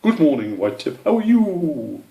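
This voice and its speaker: A man's voice: on the high side, sing-song, creaky, warm tone, pitched above the performer's own voice, heavily acted. Man playing a stuffy, wise old owl professor. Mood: courteous. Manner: measured, donnish, slightly pompous. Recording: roomy, echoey sound.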